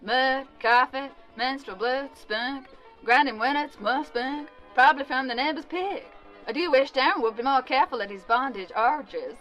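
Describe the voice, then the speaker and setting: A woman's voice: sits high, moderate pace, a bit bright, lo-fi sound, faint music behind. A woman playing a coarse, melodramatic, complaining housewife in a bawdy comic audio drama. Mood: fretful, despairing.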